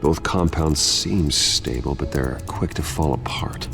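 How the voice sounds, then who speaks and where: A man's voice: rumbling low, unhurried, vocal fry, over music, full character voice. An actor playing a brooding, wealthy male vigilante in a video game, terse, guarded and serious. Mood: grim, focused. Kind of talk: cinematic video game acting.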